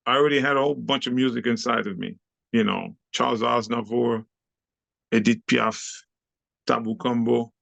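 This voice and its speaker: A man talks in a casual interview. His is Haitian Creole, and he tells animated, slangy stories with frequent laughs. His voice has a gravelly fry, and the sound is dry and close. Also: medium pitch, dry recording. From Haiti, raised in Brooklyn, New York. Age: 60s. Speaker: interview guest, club dancer.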